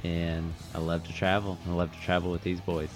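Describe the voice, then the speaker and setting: A man's voice: sits low, level tone, a little fast, over music, poor audio. A man in his twenties from North Georgia introducing himself briefly as a podcast co-host. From North Georgia, USA. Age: 20s.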